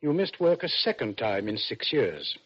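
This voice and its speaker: A shrewd police interrogator with a husky voice and swooping intonation. He coaxes and insinuates with calculated hesitations, in old-time radio suspense style on a dull vintage recording. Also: medium pitch, very animated. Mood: probing. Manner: deliberate, hesitant feints, coaxing.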